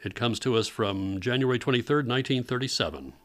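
A man's voice: medium pitch, expressive, fast. A man hosting a radio theater program, reading a scripted introduction to vintage broadcasts. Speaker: radio theater podcast host.

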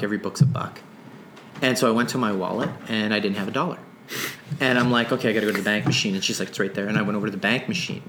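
A man's voice: lowish voice, noisy background. A man from Nova Scotia, an author talking casually in an interview, rambling with many restarts. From Nova Scotia, Canada. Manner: rambling, many restarts, animated when excited. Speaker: author guest. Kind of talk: conversational interview.

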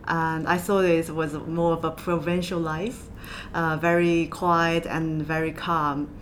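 Lower-pitched Chinese woman over rumbling noise. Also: little pitch movement, takes it slowly. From southwest China. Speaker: podcast guest, accountant. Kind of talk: interview conversation. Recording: low rumble and background noise.

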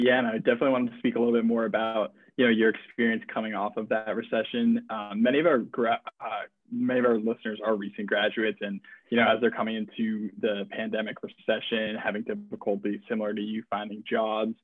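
A man's voice: lowish voice, flat delivery, fast talker, no room sound. A man, asking questions conversationally as a podcast co-host over a tinny line. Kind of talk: conversational interviewing. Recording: tinny phone-like line, dry sound.

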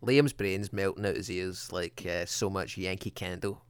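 A man's voice: sits low, normal speed, clean tone, dry recording. A man co-hosting a podcast, chatting casually in a jokey, rambling, teasing way. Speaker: podcast co-host. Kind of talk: casual chat.

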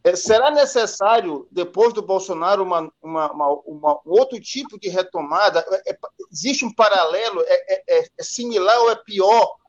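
A man co-hosts a live online talk and asks long, halting questions full of restarts and fillers. His voice sits fairly high, and the audio sounds muffled and lo-fi. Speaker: co-host interviewer. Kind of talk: live stream interview questions.

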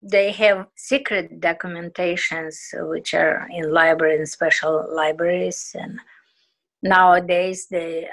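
A woman from Ukraine whose first language is Russian speaks English with a Russian-speaking Ukrainian accent. She is an interviewee recalling her past in a halting way and searching for English words. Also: on the low side, a bit bright, poor audio. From southeastern Ukraine. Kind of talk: conversational personal recollection.

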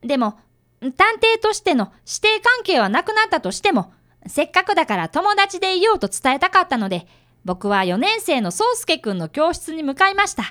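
Radio drama schoolboy played by a woman: polite, eager apprentice sleuth, high pitch, lively swings. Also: moderate pace, heavily acted. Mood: eager narrating. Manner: polite, earnest. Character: earnest polite fifth-grade boy, apprentice detective. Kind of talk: radio drama acting with first-person narration.

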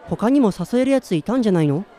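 A man's voice: high-pitched, lively intonation, fast, mellow, some chatter behind. An actor playing a listless, self-doubting man in his twenties in a radio drama, plain-spoken in narration and dialogue. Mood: casual, surprised. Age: 20s.

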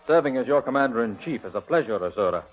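A man's voice: medium pitch, expressive, quick pace, slight background noise, natural voice. A man voicing a heroic young commander in a 1930s radio serial, with a gallant, eager delivery. The old recording sounds dull.

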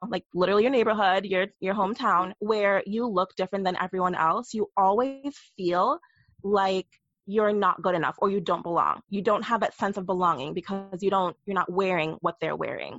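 A woman speaking as a podcast guest, telling her stories with lively, playful asides. Her voice sits in a middle range. The audio is dry and dull, like a lo-fi call recording. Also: medium pitch, a little fast, no room sound. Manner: animated storytelling with playful asides. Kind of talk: candid interview conversation.

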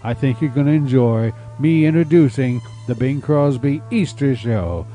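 A man's voice: medium pitch, normal speed, warm tone, soft background music. A man hosting a radio show, introducing it in a jovial, folksy, mock-formal way to his listeners. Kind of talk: folksy scripted show introduction over music.

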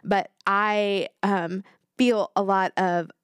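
A woman co-hosting a casual podcast at a middle pitch, animated and reflective as she talks things through. Her voice is close and dry, with no room sound around it. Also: slow, clean tone, no echo. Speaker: podcast co-host.

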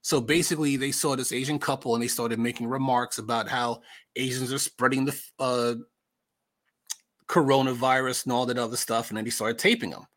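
A man's voice: medium pitch, fairly even intonation, brisk, fairly bright tone. Opinionated American man, casual commentary. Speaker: podcast host.

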